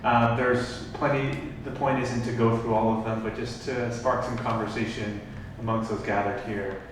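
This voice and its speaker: A man's voice: medium pitch, level tone, normal speed, noisy background. A man organizing an event, making loose announcements to the audience with many fillers. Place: large room at a live event. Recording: echoey hall, speaking from far off.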